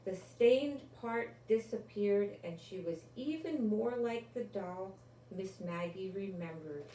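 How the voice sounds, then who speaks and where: Older woman, grandmotherly storybook reader, unhurried and expressive, recorded in a roomy space. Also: medium pitch. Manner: slow, deliberate, expressive storytelling. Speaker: grandmother reading a children's book aloud. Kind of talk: storybook read-aloud with asides. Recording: roomy, distant microphone, faint background noise.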